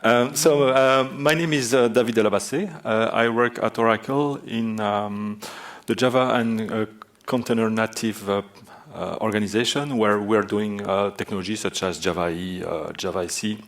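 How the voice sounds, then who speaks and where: A man's voice: on the low side, fairly even intonation, moderate pace, hardly any pauses. A Belgian man with a French accent giving a technical talk on a conference stage, hesitant, with many filler sounds. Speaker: conference speaker. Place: large conference hall stage.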